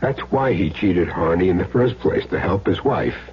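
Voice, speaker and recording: Cagey American hired gun, very animated intonation, streetwise, self-justifying, radio crime drama, muffled hissy old recording. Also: male voice, sits low, normal speed, slightly rough, exaggerated voice. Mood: insistent, pleading. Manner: streetwise, plain slangy talk, earnest self-justifying. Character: cagey small-time hired gun confessing, insisting he's clean. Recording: dull, noisy old radio recording with hum.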